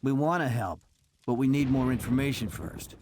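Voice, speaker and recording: An actor playing an easygoing American covert agent who talks in relaxed, joking banter. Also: male voice, medium pitch, normal speed, slight background noise, lightly acted. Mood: friendly.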